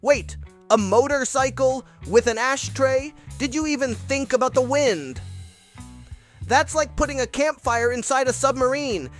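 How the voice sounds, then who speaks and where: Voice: high-pitched, animated, a little slow, faint music behind. A performer playing the wry, teasing host of a children's puppet comedy show, with scripted sarcastic banter. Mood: sceptical.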